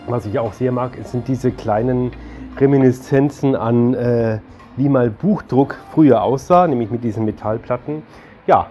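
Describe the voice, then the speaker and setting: A man's voice: mid-range voice, warm tone. A man in his forties presenting a travel vlog, talking and narrating as he shows places. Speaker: travel vlogger.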